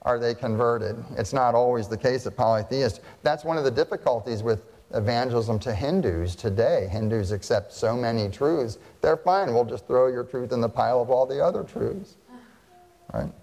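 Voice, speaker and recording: An American pastor preaching a sermon, talking to the congregation in a conversational way and asking them frequent questions. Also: male voice, medium pitch, moderate pace, gravelly, a little mellow, big-room sound. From United States. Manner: conversational, asks the audience questions.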